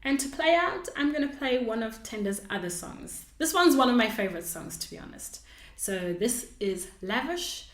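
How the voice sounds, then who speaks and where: A woman's voice: fairly high pitch, big pitch swings, normal speed. A woman hosting a podcast, talking casually and conversationally to her listeners. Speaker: podcast host. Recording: echoey room.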